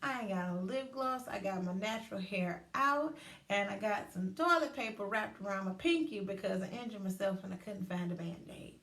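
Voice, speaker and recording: A woman's voice: mid-range voice, normal speed. A woman, hosting her own show and chatting casually and animatedly on a livestream. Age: 30s. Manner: animated, chatty, acts out inner voices. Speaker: host. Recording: roomy echo, distant from mic.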